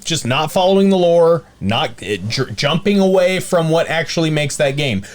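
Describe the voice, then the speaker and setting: A man's voice: fairly high pitch, animated, normal speed. A man co-hosting a casual podcast, speaking emphatically and bluntly with opinionated, joking asides. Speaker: podcast host.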